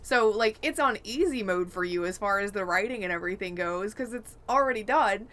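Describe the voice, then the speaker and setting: A woman's voice: medium pitch, lively intonation, brisk, a bit bright. A woman co-hosting a podcast, talking casually and giving opinions in an exasperated, emphatic way. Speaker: podcast co-host.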